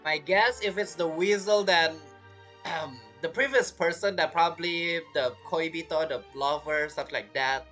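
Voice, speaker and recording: A man giving casual gameplay commentary as a streamer, in a halting, rambling, self-interrupting way. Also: fairly high pitch, pushed and bright, faint music behind. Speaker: game streamer.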